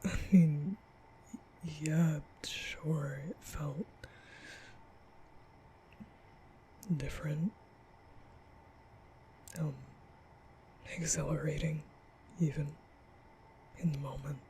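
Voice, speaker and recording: A man playing a nervous, apologetic young vampire in an intimate ASMR roleplay, speaking breathily and often half-whispering, halting and stammering. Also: a little high, fairly even intonation, dark tone, slight background noise, rough recording. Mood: guilty, apologetic. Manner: halting, stammering, rambling, sighing. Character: nervous, apologetic young male vampire, guilt-ridden and soft.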